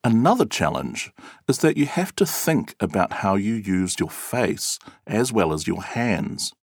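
A man reading an interviewee's answers aloud in a clear, measured and expressive way. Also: fairly low pitch, dry recording, clean studio sound. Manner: clear, measured and expressive, acting out example words. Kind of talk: scripted read-aloud.

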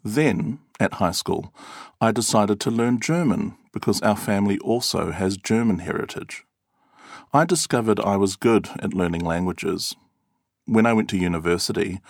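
A man reads interview answers from a script. His low voice moves through a wide, animated pitch range, and the delivery is clear and measured. The recording is dry and close. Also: no echo. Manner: clear, measured and expressive, acting out example words. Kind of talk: scripted read-aloud.

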